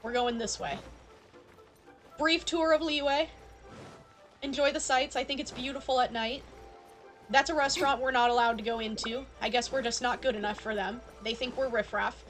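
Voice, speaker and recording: Woman game streamer, highish voice, playful and chatty, casual talk to viewers, light music underneath. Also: fairly even intonation, normal speed, pauses often, a bit bright. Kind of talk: casual chat to stream viewers during gameplay. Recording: background music behind voice.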